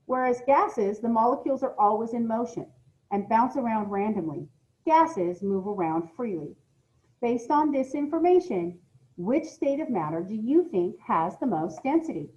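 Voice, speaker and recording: A woman's voice: a little high, a little mellow, highs cut off. A woman with an American accent reading a lesson aloud as a science teacher, explaining things directly to her students with informal asides. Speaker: middle school science teacher.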